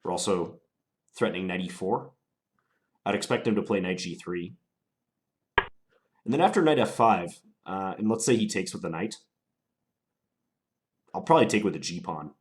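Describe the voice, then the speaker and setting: Deep-voiced guy, stuffy from a cold, musing out loud about his chess moves. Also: lively intonation. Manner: deliberate, musing, unhurried analysis. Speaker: chess player commentating his own game. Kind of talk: thinking aloud while playing.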